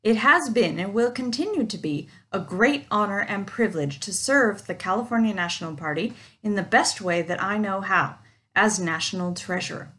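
A woman's voice: mid-range voice, expressive, normal speed, a bit bright. A woman in her forties reading a prepared campaign speech with dry jokes, recorded on a webcam in a home office. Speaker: party treasurer candidate. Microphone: webcam at desk.

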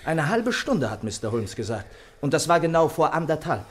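An actor playing a gruff but loyal and protective doctor friend, with a husky voice, in a German radio play. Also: male voice, on the high side, big pitch swings, moderate pace, a little hiss or hum. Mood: indignant.

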